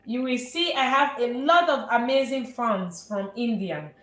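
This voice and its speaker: A woman chef from Nigeria, hosting a live cooking stream and chatting warmly with viewers. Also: fairly high pitch, expressive, a little slow, reverberant. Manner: warm, repetitive, answers viewer questions. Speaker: chef and channel host. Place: restaurant kitchen.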